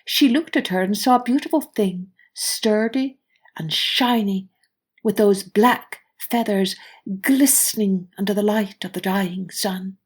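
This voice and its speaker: A woman narrating a scripted children's story as storyteller and host, with expressive, deliberate phrasing. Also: mid-range voice, breathy edge.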